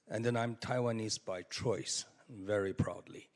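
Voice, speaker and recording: Man, low-ish voice, halting panel remarks, long hall reverb. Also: fairly even intonation, vocal fry. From China. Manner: halting, many fillers, rising with emphasis. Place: conference hall.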